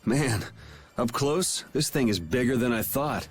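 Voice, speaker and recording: A man's voice: medium pitch, expressive, a little slow, husky, soft background music. An actor voicing a cool, sardonic young swordsman leading a party in a video game, casual and dry. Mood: calm. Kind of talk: English video game voice acting.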